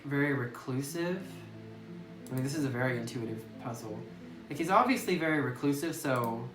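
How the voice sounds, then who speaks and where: A man casually commenting as he plays a game, musing with hesitant restarts. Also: medium pitch. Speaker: game streamer. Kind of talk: let's play commentary. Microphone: phone mic away from speaker. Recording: roomy distant phone recording with music.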